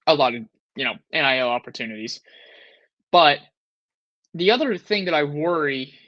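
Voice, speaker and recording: A man's voice: on the high side, bright, forceful tone, no room sound, highs cut off. A man in his thirties co-hosting a sports talk show, rambling with restarts and frustrated. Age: 30s. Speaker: podcast co-host.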